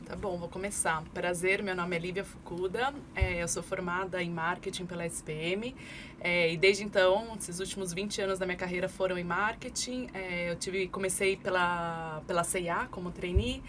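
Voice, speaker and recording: A woman in her forties, from Brazil, a marketing expert guest on a podcast, explaining her career in steady, articulate speech with frequent fillers. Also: lowish voice, moderate pace. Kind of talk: conversational podcast interview. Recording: clear background noise.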